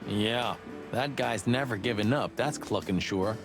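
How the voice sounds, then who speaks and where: An actor playing a brash rooster cop sidekick in a noir video game, with casual, wisecracking delivery. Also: male voice, fairly low pitch, unhurried, music in the background. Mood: exasperated.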